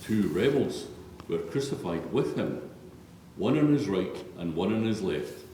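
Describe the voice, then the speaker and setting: A man's voice: low-pitched, big pitch swings, a little slow, warm tone. A man narrating scripture solemnly as a reader in a church. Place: large echoey church interior. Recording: distant, very echoey church, faint noise.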